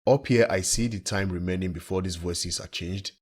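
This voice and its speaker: A man presenting a software tutorial in a plain, instructional way, recorded as a screen-recording voice-over. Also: deep voice, normal speed, breathy edge. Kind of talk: step-by-step software tutorial narration.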